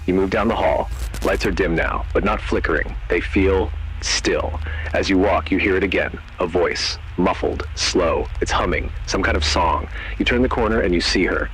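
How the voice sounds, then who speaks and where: A man narrating a scripted horror story in the second person, in short, measured, suspenseful phrases. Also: low voice, fairly bright tone, a little hiss or hum, rough recording. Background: music.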